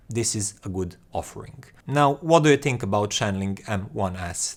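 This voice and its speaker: A man in his thirties giving a casual, conversational product review to camera as an audio gear reviewer. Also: on the low side. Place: small indoor room with acoustic panels.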